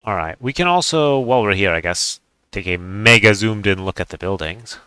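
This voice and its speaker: A man's voice: lowish voice, expressive, normal speed, sharp tone, clean tone, no echo. A man giving casual, unscripted commentary on a game, rambling in an offhand way as he thinks aloud. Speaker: gaming video creator.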